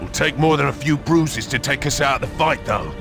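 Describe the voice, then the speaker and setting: An actor playing a tough, gruff army sergeant in a video game battle, barking out in a gravelly voice. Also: male voice, mid-range voice, brisk, over music, close to an everyday voice. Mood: urgent, tough.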